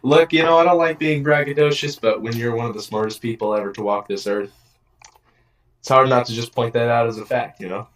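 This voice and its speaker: A man's voice: medium pitch, fairly quick. A man casually reacting to a football video, joking and mock-bragging. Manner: joking, mock-boastful, playful asides.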